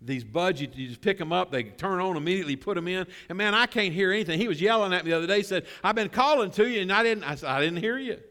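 A middle-aged man preaching a sermon in a church, conversational and animated, drawing responses from the congregation. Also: fast, few pauses, big-room sound. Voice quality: bass voice. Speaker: pastor.

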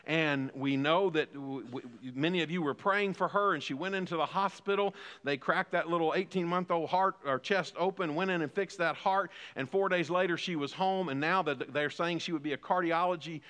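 A man's voice: a little high, moderate pace, hardly any pauses, a bit bright, in a large hall. A man in his fifties preaching a sermon as pastor, building emphasis through repeated phrases. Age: 50s. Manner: preaching with rising emphatic repetition.

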